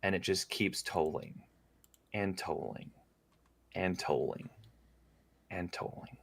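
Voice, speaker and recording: A low-voiced man narrates a tabletop role-playing scene as game master, his delivery unhurried and full of small fillers. The sound is close and dry, with no room in it. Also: vocal fry, no echo. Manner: slow descriptive narration with fillers.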